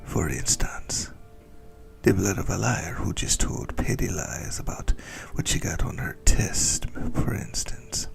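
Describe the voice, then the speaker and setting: A whispering, heavily creaky ancient male vampire, acted out by a man, speaks in an intimate ASMR roleplay. He is possessive and doting, teasing his beloved as he savors each word slowly. His delivery swings expressively between soft murmurs and livelier turns. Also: low voice, lively intonation, faint music behind, full character voice. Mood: relishing, intimate. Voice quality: breathy whisper with gravelly creak.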